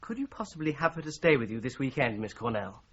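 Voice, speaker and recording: An actor playing a discreet, thoughtful British amateur investigator in a radio drama, with a husky voice. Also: male voice, medium pitch, big pitch swings, quick pace, dull audio. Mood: discreetly persuasive.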